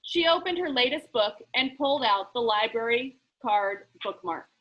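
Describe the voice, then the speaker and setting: A woman reading a children's book aloud as an expressive storyteller. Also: on the high side, normal speed, rough recording. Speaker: story reader.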